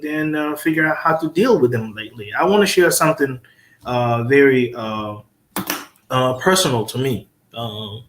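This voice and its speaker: A man from the Congo speaks as a guest lawyer on a panel. He stops often for fillers and pushes his points with emphatic asides. His voice sits at a middle pitch and carries a clear room echo. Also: moderate pace, fairly bright tone, a little hiss or hum. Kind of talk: casual panel discussion. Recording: roomy echo.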